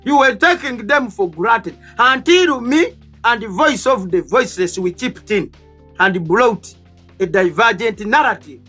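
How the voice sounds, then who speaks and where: A man's voice: extremely high pitch, lively intonation, measured pace, pushed and bright, faint music behind. A man in his thirties hosting a heated political debate panel, accusatory and emphatic, hammering rhetorical questions. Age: 30s.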